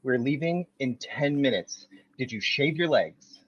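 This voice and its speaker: A man's voice: medium pitch, lively intonation, unhurried, fairly bright tone, dull audio. A man reading a vain, bossy young man in an amateur table read. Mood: self-pitying. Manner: bossy, coaxing, self-important.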